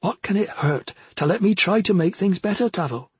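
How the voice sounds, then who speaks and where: Man voicing a pleading young woman, raised pitch. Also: lively intonation, fairly quick, breathy edge, dry recording, full character voice. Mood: gentle, imploring. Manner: earnest, imploring. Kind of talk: fantasy audiobook character acting.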